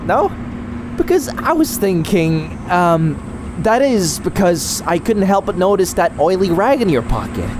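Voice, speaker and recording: A man voicing an excitable, scatterbrained young male cat with a florid, rambling, theatrical delivery in a comic dub. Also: high-pitched, a little slow, background noise. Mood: nervous, babbling. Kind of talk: animated comic dub acting.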